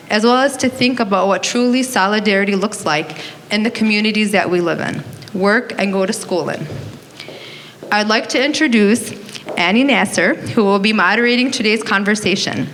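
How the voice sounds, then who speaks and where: A woman's voice: medium pitch, a bit bright. Woman presenter reading scripted intros at a community event, reverberant hall. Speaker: museum deputy director introducing speakers. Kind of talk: prepared introduction read aloud. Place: auditorium. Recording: reverberant auditorium, faint noise.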